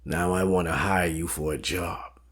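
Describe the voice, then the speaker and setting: A man playing a very deep, husky mob fixer. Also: fairly even intonation, fairly bright tone. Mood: cool, controlled menace. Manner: measured, formal, coolly threatening. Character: smooth, menacing mob fixer, a man in control. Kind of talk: crime audio drama acting.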